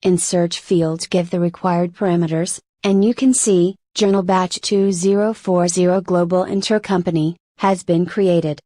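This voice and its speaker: A woman's voice: on the low side, fairly even intonation, moderate pace, few pauses, warm tone, dry recording. Woman narrating a tutorial, very dry recording. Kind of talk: scripted instructional narration.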